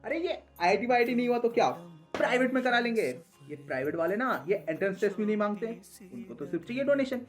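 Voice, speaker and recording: Animated, chatty young buddy, acted by a woman. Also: on the high side, fast talker, faint music behind. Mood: excited. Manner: slangy, reassuring, animated. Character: excitable, chatty young friend cheering someone up. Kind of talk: comic skit acting.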